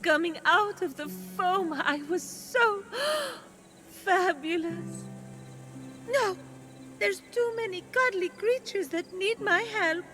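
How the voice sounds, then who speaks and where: An actress playing a gushing, fussy goddess trapped as a talking tree in a video game, theatrical with dramatic pauses. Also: female voice, very high voice, sharp tone, faint music behind, heavily acted. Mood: dramatic, doting. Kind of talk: video game cutscene acting.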